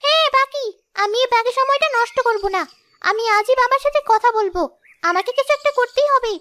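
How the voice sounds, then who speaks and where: Woman as young cartoon girl, sincere and emotive, piercingly high and bright, over music. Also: fairly quick, dry recording, studio quality. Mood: earnest, pleading. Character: earnest young schoolgirl in a moral cartoon story. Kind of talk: scripted cartoon dialogue. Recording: music behind the voice.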